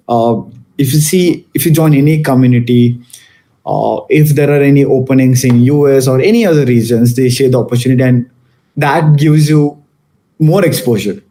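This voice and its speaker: A man's voice: medium pitch, dark tone. A young man from Malaysia giving a casual talk to students, with many fillers and restarts. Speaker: guest speaker.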